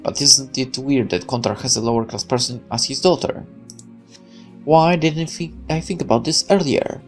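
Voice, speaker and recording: A man's voice: medium pitch, normal speed, natural voice. A man voicing a naive, loyal young soldier in a war visual novel, earnest and eager. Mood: troubled, wondering. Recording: music under the voice.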